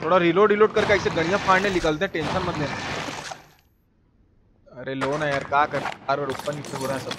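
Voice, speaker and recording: A man's voice: fairly high pitch, fairly even intonation, brisk, long pauses. A man giving informal, foul-mouthed live game commentary and talking to his viewers. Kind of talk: live gameplay commentary with chat shout-outs. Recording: clear background noise and game ambience. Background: video game ambience.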